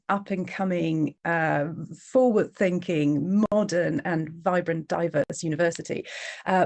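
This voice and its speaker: A woman's voice: on the low side, darkish tone. Woman curator, earnest. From Czech Republic. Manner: earnest, many fillers and restarts. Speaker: university art curator. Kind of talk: online talk, self-introduction.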